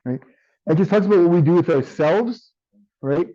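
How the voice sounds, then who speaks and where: A man teaching an online class with a tired, strained voice, often checking in with "right?" and filling pauses with um. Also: a little high, dark tone, no echo, highs cut off. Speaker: instructor.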